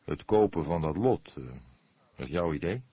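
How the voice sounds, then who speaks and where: A man's voice: deep voice, animated, warm tone, slightly rough, no room sound, voice pushed down. Een man die in een luisterboek een vermoeide politierechercheur speelt, die afgemeten, gelaten en vorsend vragen stelt. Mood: resigned, probing. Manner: measured, terse, resigned questioning. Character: weary, probing older male police detective. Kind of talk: audiobook character dialogue.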